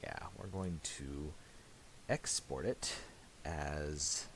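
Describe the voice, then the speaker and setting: Slow, low-voiced man giving a tutorial. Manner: halting, thinking aloud. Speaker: tutorial presenter. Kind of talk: casual unscripted software tutorial narration. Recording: faint hiss and mouse clicks.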